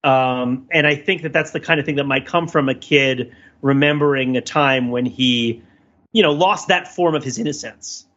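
A man's voice: mid-range voice, normal speed, a bit bright, dull audio. A man co-hosting a podcast, talking casually in a discursive, analytical, wordy way. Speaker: podcast co-host. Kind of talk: casual discussion and cultural commentary.

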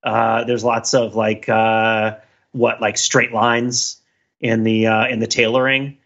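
A man's voice: mid-range voice, flat delivery, takes it slowly, a bit bright, lo-fi sound. A man co-hosting a podcast, talking casually and analytically in a discursive way, thinking aloud with many asides. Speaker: podcast co-host.

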